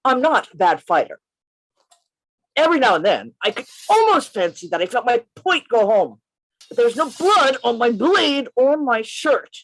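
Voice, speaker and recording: A woman playing a bold gentleman adventurer in a radio play, narrating his tale in an emphatic, theatrical way. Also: a little high, sing-song, moderate pace, low-quality recording. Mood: tense narrating. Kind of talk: radio play reading, first-person narration. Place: remote reading over a video call.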